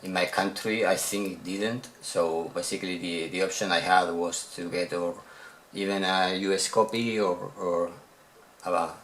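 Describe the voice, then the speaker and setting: A man from Spain with a Spanish accent, a Spanish speaker talking in English in a casual unboxing vlog about his newest video games, often halting and repeating words as he searches for them. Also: low voice, fairly even intonation, a bit bright, slight background noise, poor audio. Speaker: video game collector showing purchases.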